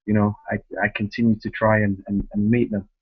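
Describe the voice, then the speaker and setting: A man's voice: on the low side, little pitch movement, fairly quick. A man hosting a conversational interview on a conference call, talking emphatically and often checking for agreement. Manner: emphatic, repeats words and seeks agreement with tag questions. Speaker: host, youth soccer club director. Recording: muffled, lo-fi conference call line.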